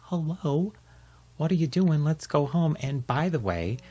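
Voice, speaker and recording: A man voicing a cheerful dad coming home from work, in a raised, loud voice. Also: a little high, animated, measured pace, slightly rough, voice pushed up.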